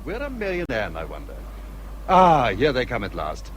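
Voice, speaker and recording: A man playing a bluff, offhand young English country gentleman in a period radio drama, speaking in a brisk manner. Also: medium pitch, wildly swooping pitch, slight background noise. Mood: hearty, impatient.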